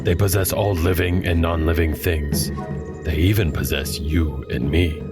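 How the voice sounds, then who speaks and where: A man's voice: sits low, fairly even intonation. An actor playing a wise elder man who teaches a young girl patiently, with music behind. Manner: slow, patient, instructive.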